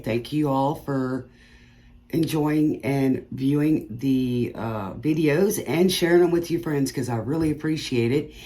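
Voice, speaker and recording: A woman's voice: extremely low pitch, warm tone. A woman tarot reader chatting casually to her viewers before a reading, rambling and stuttering at times and laughing at her own puns.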